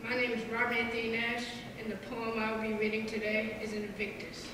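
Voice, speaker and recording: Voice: mid-range voice, completely flat delivery, brisk. Distant poetry reader in an echoey hall. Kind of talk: poetry recitation. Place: large indoor event hall. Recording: echoey hall from far off, noisy.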